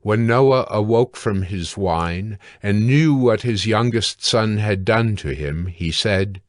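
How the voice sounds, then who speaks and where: A man narrates scripture in a fairly low voice. His delivery is very unhurried, measured and sober. The sound is dry and close, free of room echo.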